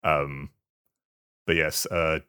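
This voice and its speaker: A man chatting casually as a podcast co-host, in a halting, hesitant way that often repeats words. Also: very deep voice, little pitch movement, sharp tone, clear, resonant tone. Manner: halting, hesitant delivery with stammered repetitions. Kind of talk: casual chat.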